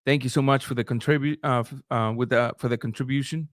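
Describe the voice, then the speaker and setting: A man's voice: medium pitch, fairly even intonation, quick pace, no room sound, studio quality. A middle-aged man whose first language is Spanish, hosting a live boxing stream in loose, conversational talk with restarts and filler sounds. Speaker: boxing channel host. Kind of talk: live-stream commentary, reading super chats.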